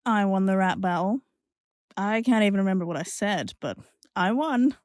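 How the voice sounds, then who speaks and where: A woman's voice: medium pitch, moderate pace, darkish tone, dry recording, clean studio sound, close to an everyday voice. An actress playing an impulsive, lovestruck young woman, telling her story candidly and conversationally in an audio drama. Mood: playful. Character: impulsive, lovestruck young Scottish woman recalling a whirlwind romance. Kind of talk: confessional audio drama narration and dialogue.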